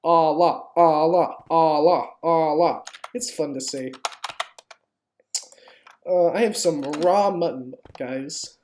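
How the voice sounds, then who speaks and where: A woman with a slightly low voice streams a game casually, taking her time. She talks informally, swears freely and mock-pleads with her viewers. There is faint noise with keyboard clicks behind her. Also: fairly even intonation, slow pace. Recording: clearly noisy home stream, keyboard clicks.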